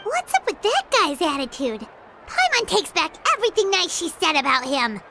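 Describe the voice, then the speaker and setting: A tiny chirpy fairy companion chatters bluntly in a video game. Her voice sits extremely high and leaps around constantly. Loud game music plays underneath. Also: normal speed. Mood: indignant. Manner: excitable, gossipy, blunt.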